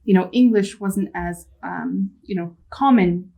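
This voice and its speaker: A woman's voice: mid-range voice, unhurried, gravelly, dark tone. Panelist, woman from Canada, upbeat and practical. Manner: upbeat, practical advice-giving. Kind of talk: panel discussion.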